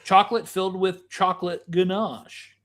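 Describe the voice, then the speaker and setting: A man's voice: sits high, fairly even intonation, moderate pace, fairly bright tone. A middle-aged man, co-hosting a casual, chatty podcast with food tasting. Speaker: podcast co-host. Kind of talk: casual chat, snack tasting.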